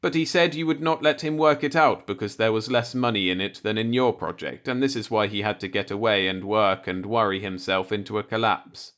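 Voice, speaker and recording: A man's voice: lowish voice, fairly even intonation, brisk, hardly any pauses, fairly bright tone. A man reading a light-hearted short story aloud as an audiobook narrator, in a scripted storytelling style.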